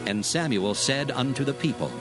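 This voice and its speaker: A man narrating a dramatized scripture reading in a formal, measured, solemn way. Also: mid-range voice, animated, music in the background, close to an everyday voice.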